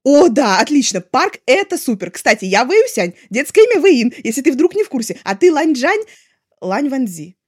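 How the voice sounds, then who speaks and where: Bubbly young man, a sunny artist read by a woman; very lively, high, breathless chatter for an audiobook. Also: moderate pace, breathy edge, exaggerated voice. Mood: delighted, teasing. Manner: gushing, breathless, excitable chatter. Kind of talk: expressive audiobook character voice.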